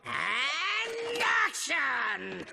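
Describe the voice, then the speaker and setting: A hammy, shouting robot villain. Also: male voice, very high-pitched, huge pitch swings, drawn-out words, vocal fry, heavy background noise, full character voice. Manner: shouting grandly. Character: pompous theatrical robot villain, male, adult. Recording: game soundtrack with loud music.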